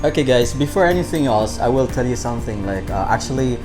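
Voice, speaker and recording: A man's voice: medium pitch, expressive, fast talker. Filipino guy doing a food review vlog, chatty, lots of um and uh, music behind. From Philippines, Bohol. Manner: chatty, repeats himself, many fillers. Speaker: food vlogger.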